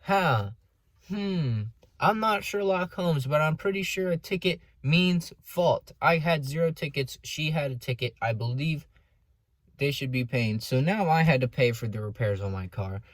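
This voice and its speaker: A man's voice: a little high, lively intonation, measured pace, no room sound. A young American man telling a personal story casually, with dramatic emphasis and sarcastic asides. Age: young adult. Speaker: vlogger. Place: inside a car.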